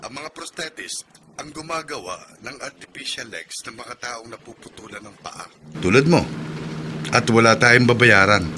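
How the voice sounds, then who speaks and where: An actor playing a reassuring older brother in a radio drama, explaining things calmly. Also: male voice, mid-range voice, moderate pace, close to an everyday voice. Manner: calm, explaining tone. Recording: noisy recording.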